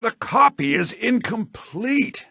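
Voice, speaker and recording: An actor playing a scholarly, theatrical headmaster of a bards' college in a fantasy video game, speaking in a formal, declamatory manner. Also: male voice, very high-pitched, sing-song, slow, sharp tone, slightly rough, no room sound, studio quality, full character voice. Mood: dismayed. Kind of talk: fantasy video game character dialogue, with verse recitation.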